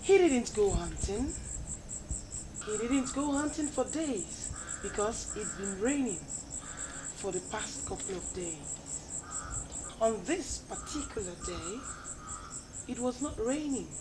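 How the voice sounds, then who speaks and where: A woman's voice: a little high, animated, slow pace, pauses often. A woman narrating a bedtime story as a storyteller. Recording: clear insect and outdoor ambience noise.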